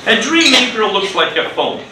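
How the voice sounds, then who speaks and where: A middle-aged man teaching an English class to children in simple, deliberate phrases, with repeated words and short instructions. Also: sits high, sing-song. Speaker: ESL teacher. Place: indoor school classroom. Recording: roomy, noisy classroom, distant mic.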